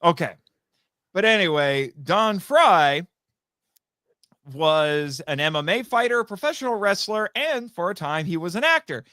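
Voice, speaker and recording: A man chatting casually as a podcast guest, telling anecdotes in a rambling, animated way and acting out bits of conversation. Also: high voice, unhurried, no echo, clean studio sound. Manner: rambling, animated storytelling with acted-out quotes. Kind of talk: casual anecdotal interview chat.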